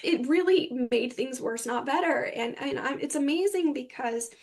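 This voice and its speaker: A woman's voice: high voice, moderate pace, a little mellow. A woman speaking as an interview guest, giving candid personal testimony in an earnest, rambling way that often seeks agreement. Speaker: interview guest recounting personal experience with psychiatric medication.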